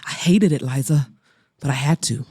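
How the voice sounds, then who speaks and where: Woman voicing a low, raw, brooding man. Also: moderate pace, warm tone, husky, no room sound, studio quality, full character voice. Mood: raw, frustrated. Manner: restrained, terse, intense. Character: weary, brooding man, a workaholic former lover.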